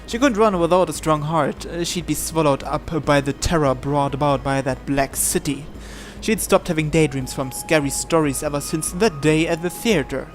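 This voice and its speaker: A man with a slightly high voice narrates a visual novel as he plays. He reads aloud and sometimes trips over a word and repeats it. Faint music runs behind him. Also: normal speed, hardly any pauses. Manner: stumbles and repeats words while reading. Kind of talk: live read-aloud narration in English.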